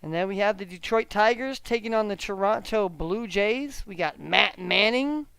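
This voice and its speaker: Halting high-voiced man talking sports picks. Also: vocal fry, a bit bright, clear, resonant tone, no echo. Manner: halting, repeats words, hedging gut calls. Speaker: sports betting show host. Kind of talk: casual solo sports picks chat.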